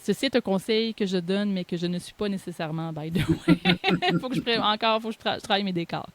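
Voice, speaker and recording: Female host, Québécois accent, average pitch, conversational interview delivery with informal restarts and self-corrections. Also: lively intonation, fast talker. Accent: Québécois French.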